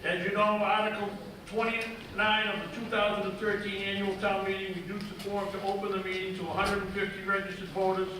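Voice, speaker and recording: A man runs a town meeting in a measured, procedural voice that sits high and barely moves in pitch. He is picked up from a distance in a big, echoing auditorium. Steady background noise sits under him. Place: large auditorium. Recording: echoey hall, distant mic, background noise.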